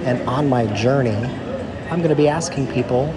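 A man hosting a travel vlog, asking strangers quick questions in a friendly, brisk way. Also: mid-range voice, over a noisy crowd. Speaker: vlog host interviewing travelers. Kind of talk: casual vlog narration and street interviews.

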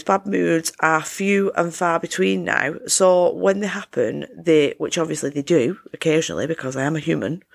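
Croaky woman doing her solo podcast, super gravelly, chatty and upbeat. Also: sits low. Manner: chatty, upbeat self-help talk. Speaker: podcast host. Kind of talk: casual solo podcast chat.

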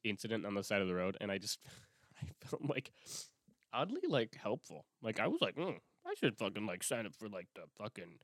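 A man's voice: on the low side, very animated, brisk, no echo, clean studio sound. A young man hosting his own podcast, talking alone in a rambling, swear-heavy way with lots of restarts, sniffling from a cold. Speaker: podcast host. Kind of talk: casual solo podcast monologue.

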